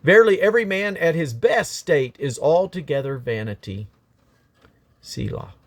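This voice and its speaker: A man's voice: a little high, lively intonation, normal speed, dry recording. A man reading scripture aloud in a solemn, emphatic, declamatory way, stressing his phrases. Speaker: Bible reader.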